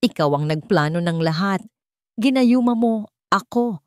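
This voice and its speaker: Woman voicing a loud, accusing husband. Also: medium pitch, lively intonation, measured pace, no room sound, clean studio sound, natural voice. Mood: furious, accusing. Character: hot-tempered cheating husband, blaming and defensive.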